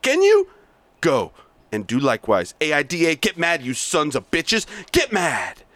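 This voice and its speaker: Brash, abusive big-shot sales boss, read out by a man at a raised pitch, barking insults in a dry, close recording. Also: big pitch swings, moderate pace, pushed and bright, dry recording. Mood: berating. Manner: aggressive, swearing, berating; read aloud from a script, stumbling at times. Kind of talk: amateur reading of a scene.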